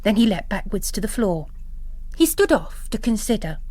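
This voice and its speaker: A woman's voice: mid-range voice, very animated, normal speed, darkish tone, no room sound. A woman reading literary fiction as a narrator, in a dramatic audiobook style.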